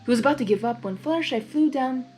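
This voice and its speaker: A woman narrating fan fiction in a blind reading, expressive, with her own giggly asides. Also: sits high, fast talker, faint music behind.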